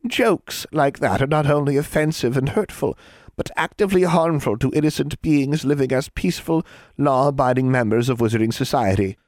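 A man's voice: mid-range voice, moderate pace, a little mellow, no room sound, clean studio sound, voice pushed up, natural voice. A man voicing a cheerful, officious hag woman with a menacing sweetness, as a storybook character. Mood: indulgent scolding. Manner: indulgent, officious, crooning, mock-sweet.

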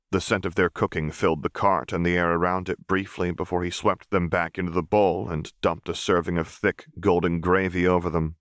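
Low male audiobook narrator, calm and dry. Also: clear, resonant tone, dry recording. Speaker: audiobook reader. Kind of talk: scripted narration.

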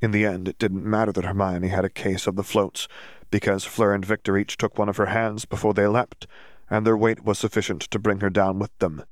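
Low-voiced man narrating a story. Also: flat delivery, fairly quick, dry recording, studio quality. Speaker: audiobook narrator.

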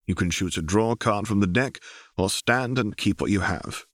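A man's voice: on the low side, moderate pace, no room sound, studio quality, natural voice. A man voicing a patient, affectionate male mentor in an audiobook, instructive and pointed. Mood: patient, teasing.

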